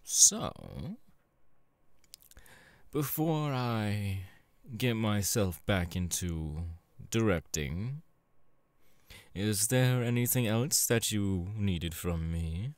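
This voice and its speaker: Slow, sleazy demon boss played by a man. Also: darkish tone, no echo. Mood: sly, possessive. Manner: suave, drawling, leering, with low chuckles and pet names. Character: sleazy, seductive demon porn director and crime boss, male. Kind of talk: ASMR audio roleplay, in character.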